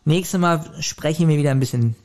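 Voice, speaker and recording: A man's voice: a little high, brisk, a little mellow. A man, speaking casually as a podcast co-host giving a review.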